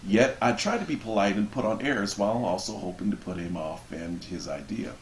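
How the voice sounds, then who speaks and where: A man reading a book passage aloud expressively, with measured, deliberate phrasing and dramatic pauses. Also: fairly low pitch, animated. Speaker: reader of a book introduction. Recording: roomy echo with background noise.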